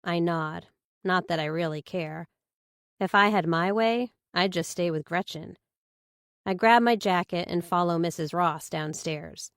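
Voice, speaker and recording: A woman's voice: fairly low pitch, measured pace, dry recording, studio quality. A woman reading scripted first-person thriller narration as an audiobook narrator.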